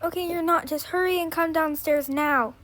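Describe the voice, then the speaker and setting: A woman's voice: extremely high pitch, steady tone, moderate pace, a bit bright. A woman voicing a worried parent urgently taking charge in a pretend-play family drama, with faint noise behind. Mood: hurried.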